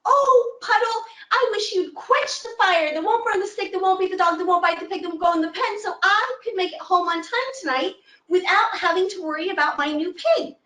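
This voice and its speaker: A woman voicing a fretful old woman who begs and scolds her animals, in a high singsong. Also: fairly quick, pushed and bright, echoey room, recorded from a distance. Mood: pleading. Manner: high pleading singsong repetition.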